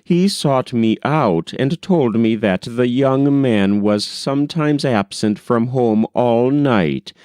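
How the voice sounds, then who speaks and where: A man reading a classic novel aloud as an audiobook narrator, in a measured, unhurried storytelling manner. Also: medium pitch, lively intonation, darkish tone, smooth, no room sound, clean studio sound.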